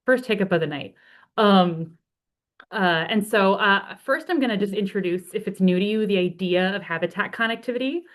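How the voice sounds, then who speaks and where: A woman, a conservation advocate, giving a friendly webinar presentation over a video call, slightly hoarse from a cold, talking freely with many ums and uhs. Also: mid-range voice, normal speed.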